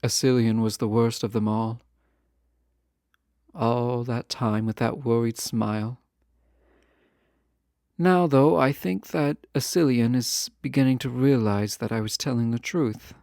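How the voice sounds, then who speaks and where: A man playing a refined, guarded yet fond fantasy ruler in a romantic audio roleplay, speaking in formal, measured phrases with teasing asides. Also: medium pitch, vocal fry, a little mellow, no echo, clean studio sound. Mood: reflective, wry.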